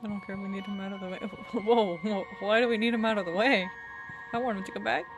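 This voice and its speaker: A woman streamer reacting casually to a game, playful and quick with quips. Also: medium pitch, steady tone. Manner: playful, quippy. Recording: game music behind the voice.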